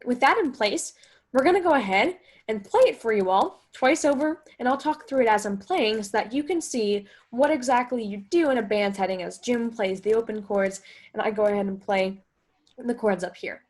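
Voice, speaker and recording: A woman's voice: fairly high pitch, normal speed, a little mellow. A woman guitar teacher explaining step by step in a chatty, think-aloud way, as in an instructional music lesson.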